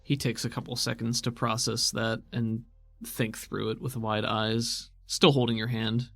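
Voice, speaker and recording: A man's voice: mid-range voice, flat delivery, unhurried, creaky, a bit bright, no room sound. A man with a mid-range voice acts as game master in a role-playing show. He improvises dialogue and narration in casual, down-home phrasing. Music plays faintly behind him. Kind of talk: improvised in-character roleplay with narration.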